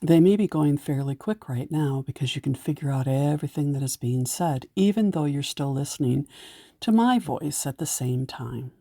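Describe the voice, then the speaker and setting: A woman leading a guided meditation in a gentle, soothing way, giving unhurried instructions. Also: sits low, no echo, studio quality.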